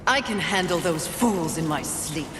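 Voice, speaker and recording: A woman's voice: on the low side, lively intonation, unhurried, noisy background. A woman playing a proud, impatient female warrior of the apocalypse in a video game, sardonic and imperious. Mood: proud, mocking. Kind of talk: video game cutscene acting. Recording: echoey hall, music behind.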